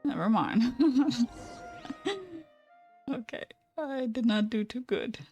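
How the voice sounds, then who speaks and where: A soft-spoken woman hosting a quiet, cozy let's play, musing to herself over game music. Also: fairly high pitch, animated, slow, lo-fi sound. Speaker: gaming channel host. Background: faint game music.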